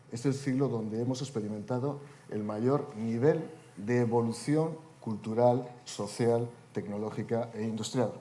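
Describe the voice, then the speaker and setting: Man, 50s, panel speaker. Mellow, wry, speechmaking tone, faint hum behind. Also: medium pitch. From Spain. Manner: wry, joking asides in an oratorical delivery. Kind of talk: panel debate talk. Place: conference hall panel.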